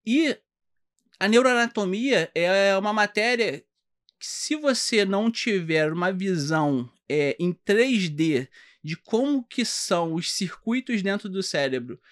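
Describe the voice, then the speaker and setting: A high-voiced man with a Carioca accent talks in a podcast interview. He explains things patiently, stopping often to restart his sentences and filling the pauses. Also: lively intonation, a bit bright. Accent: Carioca Brazilian Portuguese. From Rio de Janeiro, Brazil. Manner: halting, many fillers and restarts, explanatory. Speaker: podcast guest, doctor. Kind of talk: casual interview chat.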